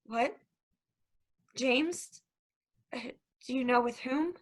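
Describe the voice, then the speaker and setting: A woman's voice: sits high, slow. A woman reading the part of an emotional, hesitant, guilt-ridden young woman in a stage play. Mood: soothing, guarded.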